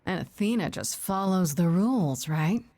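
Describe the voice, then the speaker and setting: A woman's voice: lowish voice, animated, normal speed, dark tone. A woman acting a determined young heroine in a video game, earnest and dry, with an understated natural delivery. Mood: curious.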